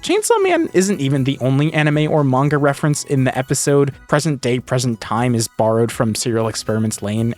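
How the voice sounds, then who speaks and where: A man narrating an analytical video essay, scripted but with casual asides. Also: mid-range voice.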